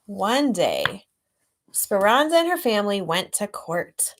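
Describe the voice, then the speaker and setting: A woman reading a children's storybook aloud expressively and gently, with chatty asides to young listeners. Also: medium pitch, sing-song, slow pace. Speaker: children's author reading own book aloud.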